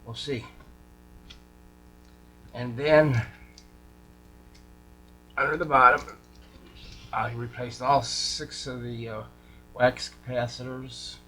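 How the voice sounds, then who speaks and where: A man's voice: medium pitch, steady tone, slow, long pauses, sharp tone, low-quality recording. A man talking casually through a hands-on radio repair, informal and folksy, thinking aloud as he goes. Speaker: radio repair hobbyist showing a restoration. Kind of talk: casual hands-on workshop chat. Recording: clear background noise, electrical hum.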